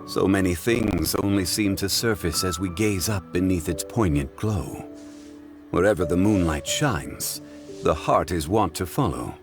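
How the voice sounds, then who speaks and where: Deep, dignified, reflective gentleman. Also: a little slow, over music. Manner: formal, poetic, composed. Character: calm, refined, ageless gentleman, reflective and dignified. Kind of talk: video game cutscene acting.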